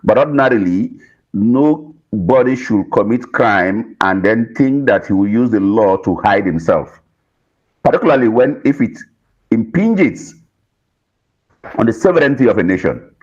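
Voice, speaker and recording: A man's voice: on the low side, lively intonation, darkish tone. A man giving political commentary as a panel guest, choosing words carefully, on dull lo-fi audio. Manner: measured, careful wording.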